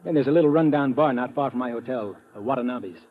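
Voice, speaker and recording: A man's voice: medium pitch, quick pace, close to an everyday voice. A man acting a businesslike confidant of an investigator in a golden-age radio drama. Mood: serious, businesslike. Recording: muffled, noisy old radio recording.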